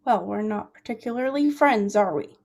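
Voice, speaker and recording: A woman's voice: medium pitch, normal speed, vocal fry, a little mellow, natural voice. A young woman in a staged play reading, acting a guarded, lovesick girl with a hesitant, confessional delivery.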